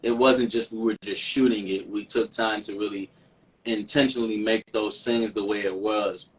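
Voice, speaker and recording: A man's voice: lowish voice, steady tone, moderate pace, far from the mic, a little hiss or hum, rough recording. A man from Chicago, a singer guest in a casual interview, talking in a reflective, earnest way and often repeating words as he thinks. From Chicago, USA.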